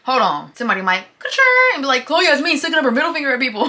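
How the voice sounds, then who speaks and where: A woman's voice: high-pitched, expressive, very fast, bright, forceful tone, slight background noise. A woman of twenty chatting to her phone camera from a parked car, gushing and casual, often saying y'all. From Michigan. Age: 20s. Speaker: vlogger.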